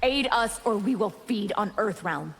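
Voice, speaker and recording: A woman's voice: medium pitch, lively intonation, unhurried, a bit bright, big-room sound, slight background noise. A woman voicing a proud, hungry, threatening vampire in a video game, defiant and disdainful. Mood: defiant, menacing. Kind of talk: video game fight-intro dialogue.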